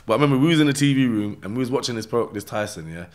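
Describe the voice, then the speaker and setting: A man's voice: mid-range voice, lively intonation, racing. A man with a London accent tells his own story casually in streetwise slang, often checking in with short tags like "yeah?". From London, England. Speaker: podcast guest telling his life story. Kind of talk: casual first-person storytelling.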